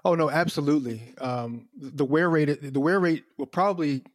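A man's voice: a little high, moderate pace, dry recording, studio quality. A man hosting a trade radio show, conversational as he leads the guest with questions. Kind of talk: radio interview.

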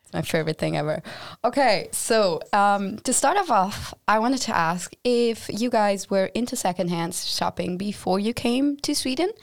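A woman's voice: medium pitch, expressive, moderate pace, creaky, few pauses, no room sound, studio quality. A young woman from Germany hosting a casual student podcast, chatty and full of fillers. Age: young adult. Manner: chatty, friendly interviewer with fillers. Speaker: podcast host.

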